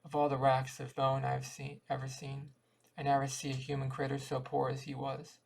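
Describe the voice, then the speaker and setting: A man's voice: medium pitch, monotone, normal speed, gravelly, low-quality recording. A man reading a folksy dialect short story aloud as an amateur audiobook reader, often stumbling and repeating words.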